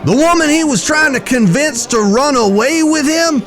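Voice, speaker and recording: Man playing a very high-pitched, hearty frontier storyteller. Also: expressive, normal speed, fairly bright tone. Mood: concerned. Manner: folksy, colorful, emphatic. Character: hearty, storytelling older cowboy friend, slow drawl. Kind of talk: western audio drama acting. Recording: music in the background.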